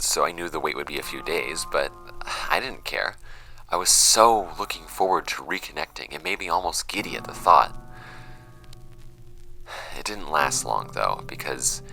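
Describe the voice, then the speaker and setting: A man's voice: very deep voice, vocal fry, sharp tone, full character voice, poor audio. A man acting a troubled young man in an audio drama, giving a hesitant, introspective confessional monologue. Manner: hesitant, introspective, halting phrasing. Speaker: audio drama actor in the lead role.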